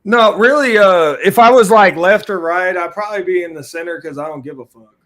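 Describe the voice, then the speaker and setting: A man's voice: sits high, fairly quick, fairly bright tone. A man from Louisiana with a Southern US accent, talking in a laid-back, rambling way on a casual podcast. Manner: laid-back, rambling, often says "you know what I mean". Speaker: co-host.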